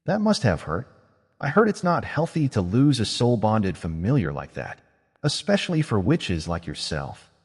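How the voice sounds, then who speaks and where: A man's voice: fairly low pitch, big pitch swings, normal speed, breathy edge, big-room sound, exaggerated voice. A man voicing a guarded, blunt young male mage who speaks dryly and confrontationally. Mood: probing.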